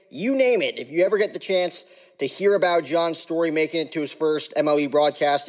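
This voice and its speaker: A man hosting a sports podcast, talking about baseball in an energetic, hyped way with casual slang. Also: on the high side. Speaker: podcast host. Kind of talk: casual podcast intro and interview.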